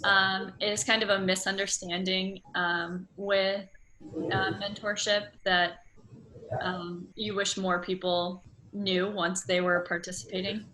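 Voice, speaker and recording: A woman with a bright, forward voice conducts a casual interview. She phrases her questions tentatively and affirms answers with enthusiasm, over faint background noise. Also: mid-range voice, level tone, takes it slowly, low-quality recording.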